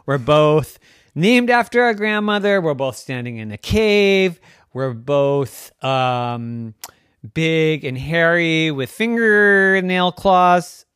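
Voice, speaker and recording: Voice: mid-range voice, expressive, slow, fairly bright tone, clear, resonant tone, dry recording, clean studio sound, pitched above the performer's own voice. A big storybook cave bear, put on by a storyteller, blustering and full of questions. The voice swings up and down as it chats, indignant yet friendly underneath. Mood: persuading.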